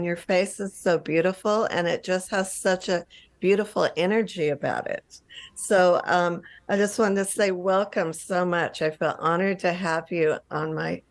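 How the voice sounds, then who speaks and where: Female host, lowish pitch, slow delivery with pauses between short phrases, effusive introductions, recorded over a video call. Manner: halting, pausing between short phrases, gushing. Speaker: interview host. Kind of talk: spoken guest introduction and questions.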